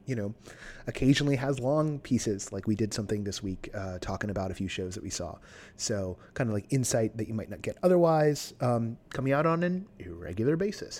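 A man's voice: lowish voice, darkish tone. A man hosting a podcast in casual chat, rambling and self-deprecating with many restarts. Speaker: podcast host.

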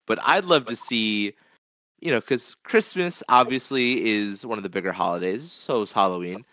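A man's voice: fairly low pitch, normal speed, bright, forceful tone, dry recording. A man co-hosting a podcast in casual conversation, talking in a rambling way and repeating phrases as he goes. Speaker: podcast co-host. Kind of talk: casual movie podcast chat.

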